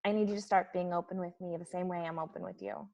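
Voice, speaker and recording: A woman's voice: lowish voice, fairly even intonation, quick pace, vocal fry, a little mellow. A woman playing an earnest, caring and easily hurt young woman in a comedy table read. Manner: earnest, pleading.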